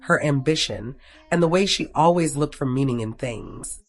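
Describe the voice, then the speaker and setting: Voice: mid-range voice, normal speed. Storyteller narrating a scripted romance tale.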